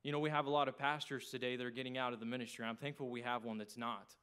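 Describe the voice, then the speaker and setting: Clear-voiced Texan man preaching. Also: medium pitch, little pitch movement, racing, a bit bright. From small town in Texas, United States. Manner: folksy, conversational. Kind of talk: evening church sermon.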